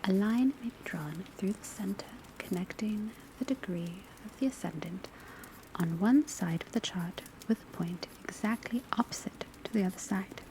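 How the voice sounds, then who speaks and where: A woman playing a patient, scholarly witch school professor in a soft, breathy ASMR whisper, lecturing in a measured, precise way. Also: mid-range voice, lightly acted. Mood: patient, instructive. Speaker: teacher in a classroom roleplay. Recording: soft steady background ambience noise. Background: crackling fireplace, pencil writing, rain on rooftop.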